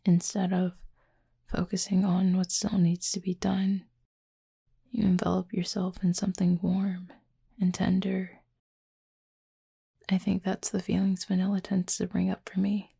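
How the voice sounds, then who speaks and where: Casting: actress for a reflective, guarded female cupid in intimate roleplay audio. Mellow, very breathy and husky, gently formal, close and dry. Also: lowish voice, completely flat delivery, dry recording, full character voice. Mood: thoughtful, tender. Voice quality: soft, breathy, husky with creak. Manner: thoughtful, measured, gently formal.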